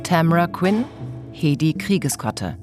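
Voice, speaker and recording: A woman's voice: sits low, very animated, a little slow, over music. A woman announcer giving a scripted reading of radio drama credits.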